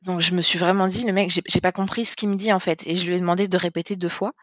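A woman telling a personal story in conversation, speaking candidly with many halting restarts. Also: lowish voice, steady tone, a bit bright, dry recording. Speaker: guest. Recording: slightly dull, lo-fi sound.

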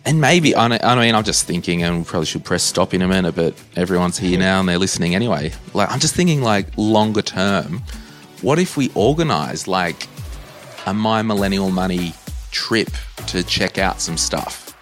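A man's voice: low-pitched, animated, moderate pace, a bit bright, faint music behind. An Australian man hosting a podcast, chatting casually and thinking aloud in a rambling, tentative way. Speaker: podcast host. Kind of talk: casual interview chat.

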